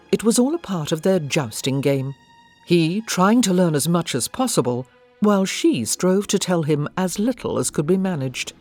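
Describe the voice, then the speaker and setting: A woman's voice: lowish voice, a little mellow. A woman reading scripted literary narration as the narrator of an audio drama.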